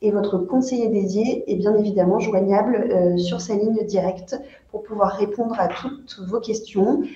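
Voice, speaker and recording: A woman hosts an online webinar for a travel agency, pitching its services with frequent hesitations. Her voice is dark and mellow. The call audio is muffled, lo-fi and echoey, as if from a computer mic in a bare room. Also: steady tone, normal speed. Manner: frequent "euh" fillers, promotional tone. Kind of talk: presenting a company webinar. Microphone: computer mic on a video call.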